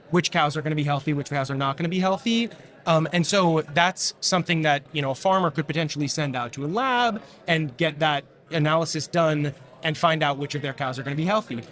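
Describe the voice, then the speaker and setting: A young man in his twenties, a graduate student answering interview questions about his research in a relaxed, technical way with fillers and restarts. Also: fairly high pitch.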